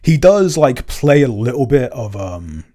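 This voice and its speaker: A man's voice: mid-range voice, sing-song, measured pace, mellow. A man chatting casually as a podcast creator answering questions, often stammering and repeating words as he works through his thoughts.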